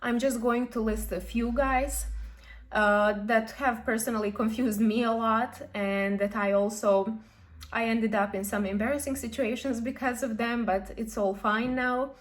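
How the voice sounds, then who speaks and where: A young woman talks through language points in a friendly, thoughtful way. Her voice sits a little high. She pauses with ums and corrects herself as she goes, like someone chatting to camera. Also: steady tone. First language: Serbian. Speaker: language vlogger. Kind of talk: explaining to camera.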